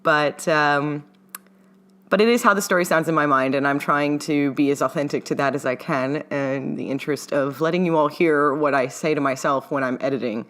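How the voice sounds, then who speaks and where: A woman's voice: low voice, fairly even intonation, moderate pace, a bit bright. A woman author reading her own story aloud in an old-timey mid-Atlantic accent, in the style of an audiobook. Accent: mid-Atlantic, old-timey English-leaning. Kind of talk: audiobook-style narration of fan fiction.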